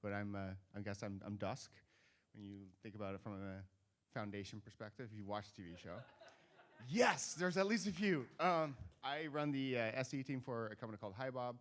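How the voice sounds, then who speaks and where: Dutch guy on stage at a conference, smooth clear voice, jokey and relaxed. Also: medium pitch, a little fast. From Netherlands. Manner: chatty, self-deprecating, joking asides. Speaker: conference co-chair. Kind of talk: casual talk to a live audience.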